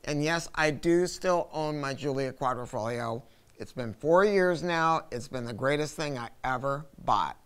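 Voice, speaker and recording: A man's voice: a little high, a little slow, fairly bright tone, smooth. Enthusiastic older man reviewing gear. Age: 60s. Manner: conversational, emphatic, chatty asides. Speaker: host, hi-fi product reviewer. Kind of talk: casual, enthusiastic product review to camera. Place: carpeted audio showroom studio with acoustic panels, indoors.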